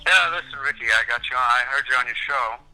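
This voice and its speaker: Rambling rock star on tinny voicemail. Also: male voice, mid-range voice, quick pace, creaky, faint music behind. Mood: rambling, insistent. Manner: rambling, stammering, repetitive, slangy. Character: rambling burned-out reclusive male rock star leaving voicemail. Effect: telephone voicemail filter. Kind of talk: acted voicemail monologue in audio drama. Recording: sharp, tinny voicemail phone line.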